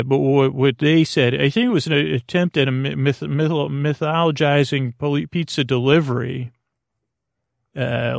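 Male podcast host for sleepy, goofy rambling; gravelly fry, mid-low everyday pitch, close dry sound, lots of stumbling restarts. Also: no echo. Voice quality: creaky, gravelly. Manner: halting, digressive, frequent false starts and repetitions; gently silly, goofy. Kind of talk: rambling, meandering solo bedtime podcast monologue.

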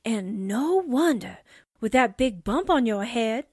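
A woman's voice: a little high, expressive, takes it slowly, darkish tone, breathy edge, dry recording, clean studio sound, exaggerated voice. A woman playing a breezy, streetwise sidekick in a radio drama, with a wry, slangy way of talking.

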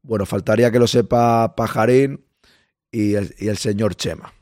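A man with a Spain Spanish accent hosting a casual livestream, a bit hoarse, chatting with viewers and greeting them by name. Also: on the low side, fairly even intonation, moderate pace, a little mellow, no room sound, studio quality. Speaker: livestream host. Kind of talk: casual live chat with viewers.

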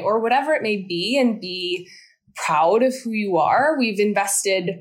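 Thirties woman, interview guest, earnest and encouraging, mid-pitched, talks in flowing explanations. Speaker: guest, founder of a mental fitness studio. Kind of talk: interview conversation.

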